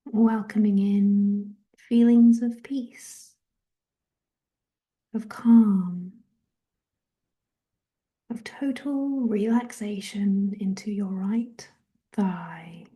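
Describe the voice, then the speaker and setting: A woman leading a guided meditation in a soft, gentle, breathy half-whisper, giving soothing body scan instructions. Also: mid-range voice, very slow, pauses often. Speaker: guided relaxation and meditation host.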